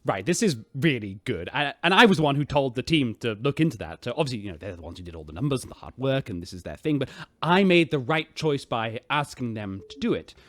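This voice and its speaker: A self-congratulating male spaceship captain in a sci-fi radio drama. He is boastful yet awkward and halting, his pitch jumping around a lot, on a dry recording. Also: sing-song, no echo. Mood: smug, pleased.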